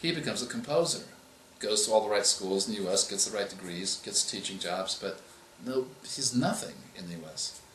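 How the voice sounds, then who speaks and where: A man's voice: fairly low pitch, lively intonation, fairly bright tone, a little hiss or hum. Man explaining in an echoey room. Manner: measured, explanatory, with frequent restarts. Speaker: author. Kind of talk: interview answers on writing craft. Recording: echoey room with faint ambience.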